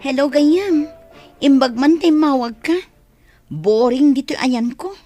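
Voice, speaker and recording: An actress playing a reluctant, downcast young bride with an Ilocano accent in a Filipino radio drama. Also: female voice, sits high, slow, warm tone, faint music behind. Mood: bored, surprised.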